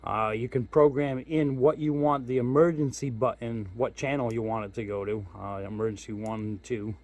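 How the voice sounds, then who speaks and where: A man's voice: medium pitch, fairly even intonation, moderate pace, a little mellow. A man giving a casual hands-on product walkthrough as a hobbyist reviewer, informal and thinking aloud as he goes. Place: indoors at home.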